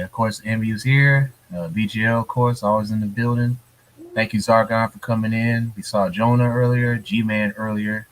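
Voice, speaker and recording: A man with a fairly low voice co-hosts a casual gaming podcast, chatting in a loose, rambling way full of fillers. He talks into a home streaming mic. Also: slight background noise, poor audio. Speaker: podcast co-host. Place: hurricane-prone US city.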